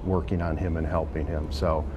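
A man with a very deep voice recalls helping a coworker, emotional and stumbling over repeated words. Clear background noise fills the office space behind him. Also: level tone, moderate pace. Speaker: coworker interviewee. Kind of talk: casual interview. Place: office building indoors.

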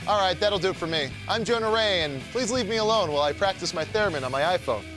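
Higher-voiced young man hosting, music behind. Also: lively intonation, fast, poor audio. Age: young adult. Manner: jokey, wry. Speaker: host. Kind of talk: scripted comedic narration.

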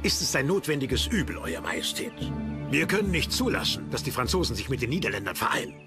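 An actor playing a resolute, deferential English admiral in a German docudrama, speaking formally and respectfully. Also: male voice, medium pitch, very animated, fast talker, loud music behind the voice, lightly acted. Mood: dutiful, resolute. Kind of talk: docudrama dialogue in German dub.

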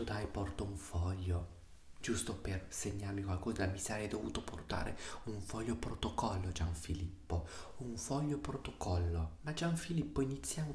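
A young man playing a stern, exasperated father in a soft-spoken ASMR roleplay, hushed and often slipping into whispers. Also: fairly low pitch. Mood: exasperated, sarcastic. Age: 20s. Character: stern, exasperated, sarcastic Italian father scolding his son. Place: small room indoors. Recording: roomy echo, faint hiss.

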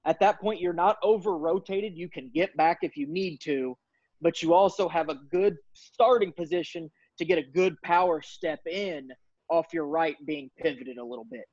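A man explains a coaching drill step by step over a video call. His voice sits a bit high, and the audio is dull and dry. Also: normal speed, darkish tone, no room sound. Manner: methodical, explanatory. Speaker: baseball coach. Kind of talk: video call panel discussion. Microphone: video call webcam.

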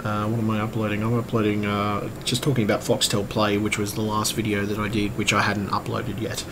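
A man with a croaky, strained voice, giving a casual, hesitant, rambling update like a vlogger. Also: fairly low pitch, monotone, brisk. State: strained voice, throat-clearing. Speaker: vlogger and computer repairer. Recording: clearly noisy room recording.

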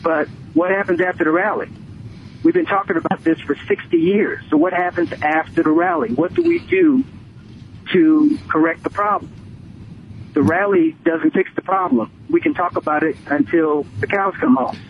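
Higher-voiced American man on a phone line. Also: expressive, moderate pace, vocal fry. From Connecticut, United States. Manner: emphatic, repeats points for stress. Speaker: radio phone-in caller. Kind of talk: opinionated call-in talk. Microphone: telephone. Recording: tinny phone line, faint noise.

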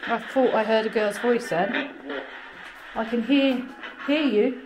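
A woman's voice: fairly high pitch, slow pace, music in the background, low-quality recording. Woman coaxing spirits in an abandoned house. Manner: calm, coaxing questions. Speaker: paranormal investigator. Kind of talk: calling out to spirits. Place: small abandoned farmhouse room, indoors. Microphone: handheld action camera.

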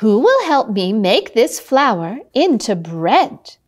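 A busy little red hen from a children's storybook, voiced by a woman. She is determined and emphatic, her voice fairly high and very animated, and she takes her time over the words. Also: slow pace, full character voice. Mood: hopeful asking.

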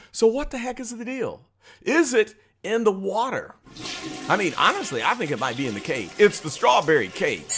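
A middle-aged American man giving casual, emphatic, exclamatory reaction commentary as a channel host. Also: fairly high pitch.

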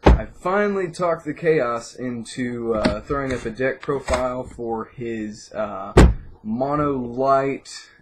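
A young man with an average-pitched voice hosts a homemade video. He speaks casually and off the cuff. The camera recording is heavily noisy. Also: slow pace. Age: 20s. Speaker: video channel host introducing a guest. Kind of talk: casual unscripted vlog intro. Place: room at home, indoors.

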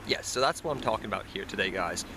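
A man's voice: fairly low pitch, expressive, fast, noisy background. A young man with a cold that makes him sound nasal, chatting casually to camera outdoors in the snow about card game strategy, rambling and correcting himself. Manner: rambling, self-correcting, addresses viewers as guys. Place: outdoors in snowy woods. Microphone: built-in camera mic at close range. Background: passing cars and planes.

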